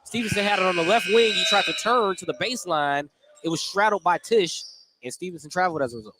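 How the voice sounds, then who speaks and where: A man with a slightly high voice gives live basketball commentary for radio. He describes the play in short, clipped running calls. Loud gym noise and bouncing balls sit behind him. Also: fast talker. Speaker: play-by-play announcer. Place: indoor school basketball gym.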